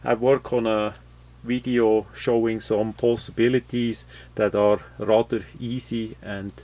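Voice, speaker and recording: A man giving an unscripted, matter-of-fact product review, explaining things in a halting way with frequent pauses. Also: on the low side, flat delivery, a little mellow. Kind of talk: unscripted gadget review commentary.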